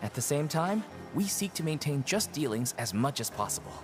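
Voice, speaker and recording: A man's voice: a little high, sing-song, moderate pace. An actor playing a friendly, persuasive male prison inmate recruiting newcomers in a video game. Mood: affable. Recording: loud game music. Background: game music.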